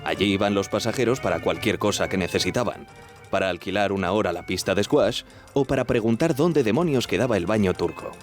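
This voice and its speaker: A man playing a courteous ship's radio operator giving formal, measured testimony in an audio drama. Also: lowish voice, faint music behind, lightly acted. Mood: measured recounting. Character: young British Titanic radio operator, courteous, recounting events. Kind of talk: dramatized first-person testimony in audio fiction.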